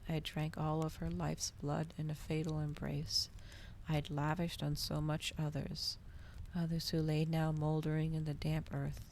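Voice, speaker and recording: A soft-spoken woman reading a novel aloud in an ASMR style, at times close to a whisper. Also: deep voice, completely flat delivery, moderate pace, a little hiss or hum.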